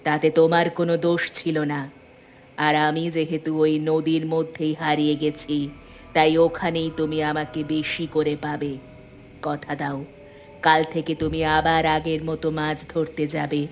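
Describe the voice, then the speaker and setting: A woman voicing the calm, demanding ghost of a drowned wife in a cartoon, measured and eerie. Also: low-pitched, faint music behind. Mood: calm, commanding. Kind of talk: Bengali cartoon horror voice acting.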